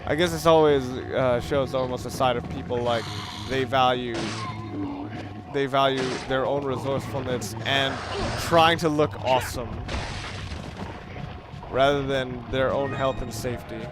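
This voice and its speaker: A man's voice: medium pitch, steady tone, a little slow, noisy background. A man giving casual, wry and rambling commentary as he talks through a video game walkthrough. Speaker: let's play commentator. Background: game music and sound.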